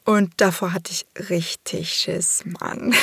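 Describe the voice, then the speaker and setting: A slightly hoarse woman hosting a podcast, talking openly and casually about her own life, swearing freely and filling pauses with "ähm". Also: on the low side, a bit bright, no room sound. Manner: open, casual, swears freely, many fillers. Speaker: podcast host. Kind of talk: candid personal monologue.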